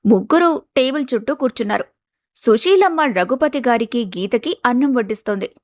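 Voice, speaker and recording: A woman's voice: on the high side, lively intonation, a little fast, darkish tone, no room sound, clean studio sound. A woman reading scripted storybook narration as the narrator of a family tale.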